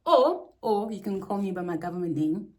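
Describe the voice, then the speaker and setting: A woman's voice: medium pitch, expressive, moderate pace, dark tone, a little hiss or hum. A woman in her twenties with a South African accent and a non-English first language, chatting casually and playfully to camera, with rambling asides. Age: 20s. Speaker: streamer and vlogger. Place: alone in a bedroom. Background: faint music.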